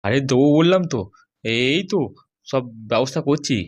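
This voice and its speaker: A man's voice: medium pitch, expressive, normal speed, close to an everyday voice. A man voicing a reassuring older father pressed for money in a comedy cartoon. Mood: reassuring, hesitant. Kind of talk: amateur comedy cartoon dialogue.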